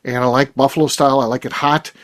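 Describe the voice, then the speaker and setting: A man's voice: mid-range voice, fairly even intonation, quick pace, a bit bright. A middle-aged man hosting a casual roundtable chat, jokey, with frequent restarts and fillers. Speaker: host.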